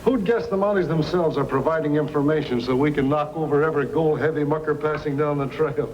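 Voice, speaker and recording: A man's voice: fairly high pitch, fast, a little mellow, muffled recording, close to an everyday voice. An actor playing a smug outlaw henchman in an old-time radio drama. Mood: slick, eager. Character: smug male outlaw henchman in an underground hideout. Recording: long hollow reverb, old radio hiss.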